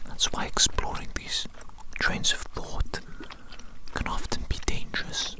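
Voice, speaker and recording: An ASMR performer playing an evil mad-scientist doctor who muses slowly and dotingly in a breathy whisper, repeating phrases softly. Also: close to an everyday voice. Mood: musing, troubled. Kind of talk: whispered ASMR roleplay monologue.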